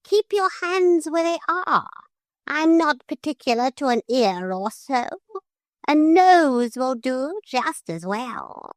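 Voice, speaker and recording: A woman's voice: high-pitched, sing-song, slow pace, no room sound, voice pushed up, exaggerated voice. A woman voicing a fussy, formal male parrot who scolds and threatens politely. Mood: prim scolding. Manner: prim, formal, pompous.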